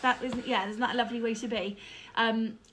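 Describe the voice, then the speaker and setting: A woman's voice: a little high, fairly even intonation, brisk, a bit bright, low-quality recording. A woman with a blocked-up nose, chatting casually and laughing often while recapping a film. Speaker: podcast co-host.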